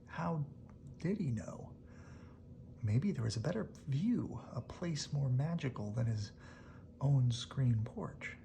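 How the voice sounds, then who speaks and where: A storyteller reading a picture book aloud in a hushed bedtime style, often dropping to a whisper. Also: mid-range voice, lively intonation, slow pace, creaky, a little hiss or hum.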